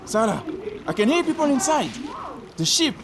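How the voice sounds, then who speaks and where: An actor playing an old, crotchety pirate crewman in an audio drama, excitable and combative. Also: very high-pitched, normal speed, noisy background, heavily acted. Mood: excited, alarmed.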